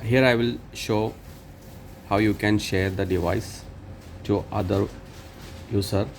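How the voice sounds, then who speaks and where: A man presenting an unscripted step-by-step tech tutorial in halting, short phrases with non-native phrasing. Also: on the low side, creaky, mellow, a little hiss or hum.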